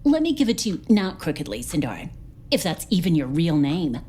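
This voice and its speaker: Brash, animated woman interrogator played by an actress. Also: low-pitched, a little fast, slight background noise. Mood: pressing, accusing. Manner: theatrical, needling, mangles idioms. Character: brash, suspicious, slang-mangling woman interrogator aboard a spaceship. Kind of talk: comic science fiction audio drama acting.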